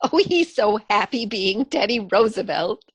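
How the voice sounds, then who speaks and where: Woman acting a slow, dainty old lady. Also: mid-range voice, expressive, breathy edge, dry recording. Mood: fond, fussing. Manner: genteel, sweetly hesitant. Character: gentle, dainty elderly spinster sister, cheerfully macabre. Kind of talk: radio comedy theatre acting.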